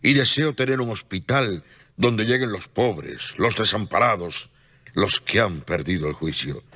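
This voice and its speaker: A man's voice: on the low side, lively intonation, unhurried, sharp tone, slightly rough, exaggerated voice. An actor playing a pious man who defends the sick, impassioned and pleading in a radio drama. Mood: earnest.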